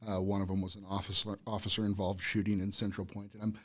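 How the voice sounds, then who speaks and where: Low, husky American man hosting a podcast. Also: level tone, quick pace. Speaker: podcast host. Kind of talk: casual podcast chat.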